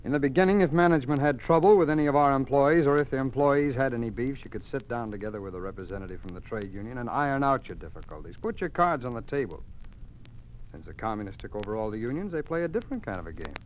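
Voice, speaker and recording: An actor playing a resigned, pragmatic attorney with an American accent, explaining emphatically in 1950s radio drama style. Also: male voice, medium pitch, expressive, quick pace, natural voice. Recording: faintly noisy 1950s radio recording.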